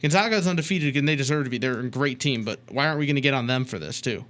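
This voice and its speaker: A man's voice: mid-range voice, very fast, fairly bright tone. A man co-hosting a sports talk show, casually debating and putting challenging questions to his partner.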